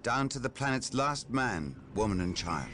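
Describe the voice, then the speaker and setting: A man's voice: medium pitch, very animated, moderate pace, bright, forceful tone, slight background noise. An actor playing a deferential imperial guard colonel in a game cutscene, addressing his superiors respectfully. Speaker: game character. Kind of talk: video game cutscene acting. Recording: game cutscene with music underneath.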